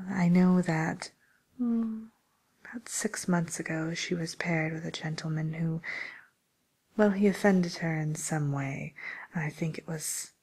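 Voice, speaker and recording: A woman playing a polite, tactful matchmaker for villains in a whispered ASMR role play, speaking breathily with gentle, hesitant pauses. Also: sits low, creaky, breathy edge, heavily acted.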